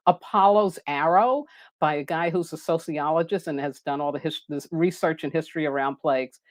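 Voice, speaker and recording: A woman hosting an astrology live stream in a loud, chatty, animated way, her mic set down on a table. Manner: chatty, animated, laughs often and goes high when excited. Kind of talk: casual live-stream talk. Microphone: microphone on a table.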